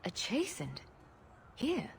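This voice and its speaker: A woman's voice: fairly high pitch, wildly swooping pitch, takes it slowly, vocal fry, slight background noise, exaggerated voice. An actress voicing a witty, sarcastic female rogue hero in a video game, dry and playful in delivery. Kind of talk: video game character acting.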